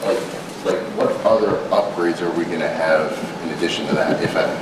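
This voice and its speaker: Male reporter, deep voice, casual press-conference questioning, distant from mic with background noise. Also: steady tone, brisk. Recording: echoey noisy room, distant from mic.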